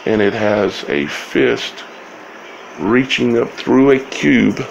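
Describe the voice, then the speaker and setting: A man giving informal scripture commentary as a video host, speaking in halting, broken phrases with long pauses. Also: medium pitch, creaky, highs cut off, slight background noise.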